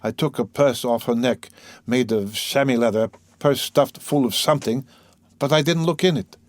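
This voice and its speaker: A man reads as a young male killer tormented by guilt, in a storybook drama. He is bitter and self-loathing, speaking in agitated, halting bursts in a close, dry recording. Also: medium pitch, dry recording, voice pushed up, lightly acted. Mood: brooding, musing. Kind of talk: dramatic audiobook character reading.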